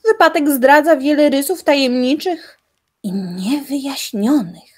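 Woman acting as solemn young woman, audio drama, very slow, highish voice, deliberate newspaper reading. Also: darkish tone. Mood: solemn, intrigued.

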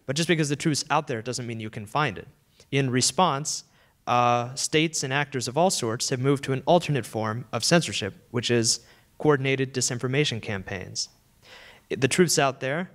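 A young man seated on an auditorium stage, speaking into a handheld microphone in a fluent, measured conference keynote. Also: medium pitch, fairly bright tone. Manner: fluent, measured, gestures while talking.

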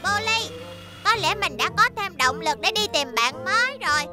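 An eager, warm-hearted little cartoon newcomer with a very high, swooping voice. The delivery is expressive and emphatic, over loud music. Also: female voice, normal speed. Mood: grateful, delighted. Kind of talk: dubbed children's cartoon acting.

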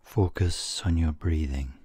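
Male meditation guide: deep and husky, soothing, measured short phrases with pauses, breathy near-whisper endings. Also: dark tone, no room sound.

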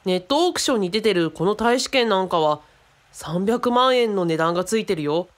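A woman's voice: medium pitch, animated, a little fast, natural voice. A woman playing a friendly, knowledgeable adult companion in a radio drama, explaining casually and thinking aloud. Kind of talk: conversational radio drama acting.

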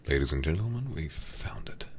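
A man streaming a game ASMR-style into a close microphone, speaking softly and intimately with frequent whispering, in an affectionate, languid manner. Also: very deep voice, expressive, brisk, a little hiss or hum. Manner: breathy, often whispered, intimate; affectionate, doting toward viewers. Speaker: livestreamer.

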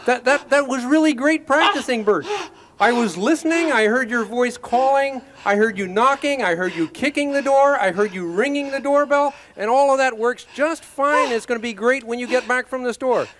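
A man's voice: extremely high pitch, normal speed, a bit bright, a little hiss or hum. A man voicing a cheerful, carefree male puppet roommate in a children's TV comedy, breezy and oblivious. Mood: oblivious, upbeat.

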